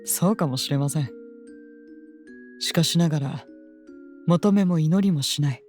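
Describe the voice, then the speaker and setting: Low, composed fantasy attendant. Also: female voice, darkish tone, soft background music. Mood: firm, calm. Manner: polite, measured, formal speech. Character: composed, gently admonishing devoted attendant of a young princess. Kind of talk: scripted audio drama acting.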